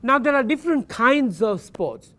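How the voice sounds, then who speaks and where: A patient teacher gives a recorded lecture to distance learners. They spell words out letter by letter, slip into Thai partway through sentences, and keep encouraging the listeners with gentle check-ins. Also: high-pitched, big pitch swings, normal speed. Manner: patient and repetitive, checks in with "Understand?"; switches between English and Thai mid-sentence. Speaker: university English teacher.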